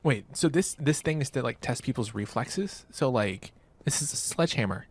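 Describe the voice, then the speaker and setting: A man's voice: medium pitch, animated, normal speed, slightly rough. A man narrating a video game review in an informal, wry, joking way, with casual reactions. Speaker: gaming video creator.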